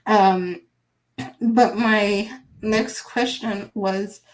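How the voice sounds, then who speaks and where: A woman hosting a live online interview, speaking in an unhurried, halting way as she reads viewer comments aloud. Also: medium pitch, steady tone, low-quality recording. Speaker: livestream host.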